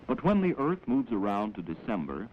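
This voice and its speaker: Animated low-voiced 1940s American film narrator, male. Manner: measured, explanatory, instructive. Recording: dull, noisy old 16mm film soundtrack.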